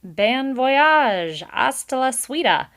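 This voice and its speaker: Brash storybook beaver boss female reader, raised pitch, hearty and emphatic, fast-talking huckster attitude without any doubt. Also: sing-song, fairly bright tone, exaggerated voice. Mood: breezily dismissive. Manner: breezy salesman patter, slangy, emphatic. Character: brash, booming, slick male beaver boss.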